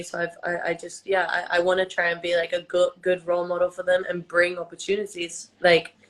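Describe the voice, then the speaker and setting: A woman's voice: lowish voice, fairly bright tone, poor audio. A young woman from England whose first language is Albanian, chatting casually on a phone livestream, full of fillers and restarts. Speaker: host of a livestream chat. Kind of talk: casual chat. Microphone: phone on a video livestream.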